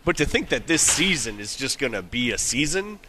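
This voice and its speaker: A man's voice: medium pitch, very animated, normal speed, over a noisy crowd. A man with an American accent giving blunt, slangy, opinionated sports talk as a co-host, in a noisy on-location recording. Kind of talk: casual, opinionated sports chat. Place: on location at a golf tournament.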